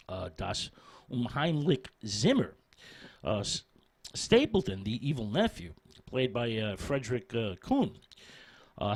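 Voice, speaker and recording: Male radio host for a film history segment; mid-pitched, highly animated intonation, hesitant phrasing with emphatic names. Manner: hesitant, frequent ums and repeated words. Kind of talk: film history talk for radio, half-scripted.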